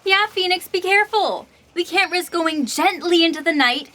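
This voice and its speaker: A woman's voice: extremely high pitch, moderate pace, pushed and bright. A woman voicing a sardonic, reckless troublemaker with a sassy, mocking manner.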